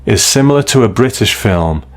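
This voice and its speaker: A man's voice: medium pitch, animated, moderate pace. A man hosting a reflective, opinionated commentary on classic television in a conversational style. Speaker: host reviewing a classic TV episode. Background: faint music.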